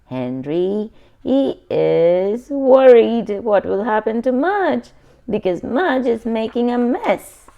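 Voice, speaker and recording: A woman's voice: a little high, big pitch swings, slow, gravelly, highs cut off. A woman reading a children's picture book aloud expressively, adding simple asides for young listeners.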